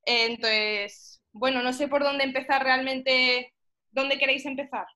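Bright, high-voiced young woman, evenly pitched. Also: little pitch movement, measured pace. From Middle East, mostly Egypt. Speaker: powerlifting athlete and coach, podcast guest. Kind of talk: casual interview chat.

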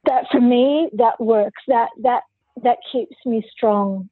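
A woman's voice: fairly high pitch, slow pace, breathy edge, no echo, narrow, tinny audio. A woman who is a podcast guest, telling her personal story candidly and forthrightly in an interview.

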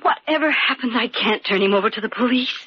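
A woman's voice: a little high, fast, breathy edge, no echo. An actress playing a frightened, anguished young woman with melodramatic, pleading delivery in old-time radio drama. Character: frightened, anguished young stepdaughter trapped in a closet. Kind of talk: 1940s radio acting. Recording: noisy, muffled old radio recording with clicks.

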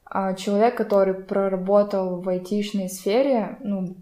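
A woman's voice: medium pitch, steady tone, normal speed, warm tone. A young adult woman chatting casually as a podcast co-host, sometimes mixing English words into her speech. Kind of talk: casual conversation. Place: room in a home. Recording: roomy home recording.

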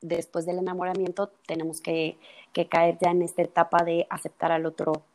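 Woman, 20s, from El Salvador, psychologist guest, lower voice, friendly, explanatory. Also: monotone, a little fast, darkish tone. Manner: friendly, explanatory, uses fillers like "este". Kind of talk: casual podcast conversation.

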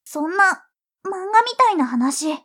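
A woman playing a naive, excitable little sister in an audio drama, with a childlike, innocent manner. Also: extremely high pitch, measured pace, exaggerated voice. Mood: unsettled. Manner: childlike, innocent, readily protesting. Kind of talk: Japanese audio drama acting.

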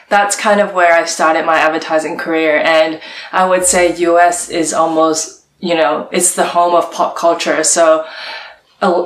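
A young woman from Hong Kong, answering interview questions in a relaxed, chatty way with lots of fillers. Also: sits low, reverberant, low-quality recording. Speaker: guest, art director.

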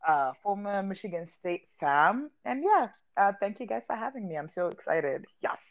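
A woman's voice: mid-range voice, sing-song, normal speed, no room sound, dull audio. A young woman from Zimbabwe, a guest on a casual podcast, bubbly and giggly.

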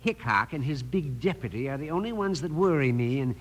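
An actor playing a greedy, scheming land baron with an oily, menacing manner in an old western radio drama. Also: male voice, mid-range voice, animated, fairly quick, natural voice. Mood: gloating, scheming. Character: smug, scheming, greedy land-grabbing villain boss. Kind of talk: 1950s western radio acting.

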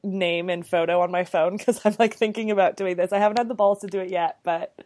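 A woman's voice: lowish voice, fairly even intonation, fast talker, a little mellow. A woman in her thirties co-hosting a podcast, talking in a chatty, bubbly way with lots of casual fillers. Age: 30s. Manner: chatty, bubbly, many "like" and "you know" fillers. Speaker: podcast co-host.

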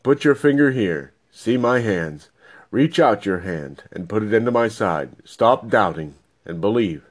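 Man reading as calm, low-voiced holy teacher. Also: unhurried. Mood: firm. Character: Jesus, calm, gentle, authoritative male teacher risen from death. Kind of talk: dramatised Bible reading.

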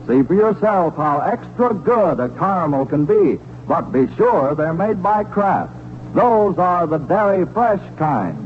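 A man's voice: sits high, big pitch swings, a little slow. A man with an American accent delivering a 1940s scripted radio commercial as an announcer, in cheerful, polished sales patter. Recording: muffled, noisy 1940s radio recording.

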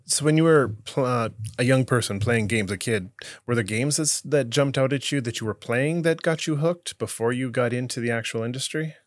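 A man's voice: medium pitch, normal speed, few pauses, dry recording, studio quality. A man hosting a casual interview, laid-back, asking questions and giving short replies. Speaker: interview host.

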